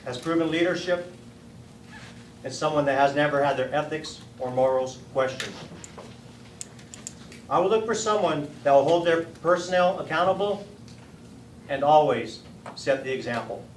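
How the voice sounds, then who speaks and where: A middle-aged American man from San Diego giving an earnest, prepared candidate's speech with deliberate phrasing. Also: fairly high pitch. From San Diego, United States. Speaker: political candidate, former Marine. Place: meeting room at a party headquarters. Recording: echoey room, distant mic, faint noise.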